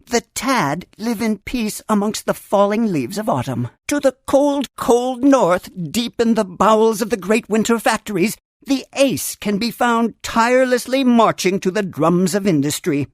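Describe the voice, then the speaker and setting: A woman voicing a wise, earnest creature who tends a great tree in a children's computer game, with theatrical, formal storybook phrasing and gentle humor. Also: mid-range voice, lively intonation, measured pace, hardly any pauses, no room sound, clean studio sound, natural voice. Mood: grand, welcoming. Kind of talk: scripted game character narration.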